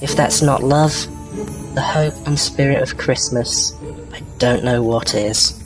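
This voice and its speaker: A man's voice: medium pitch, a little slow, close to an everyday voice, poor audio. A man playing an earnest, tender counselor who speaks in a heartfelt way in an audio drama. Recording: loud music behind the voice.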